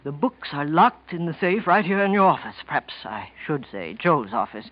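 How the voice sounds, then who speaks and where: A polite bookkeeper speaks earnestly in a fairly high man's voice, calmly scheming behind a show of sincerity. It sounds like a dull, muffled 1940s radio drama. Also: normal speed. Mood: earnest, confiding.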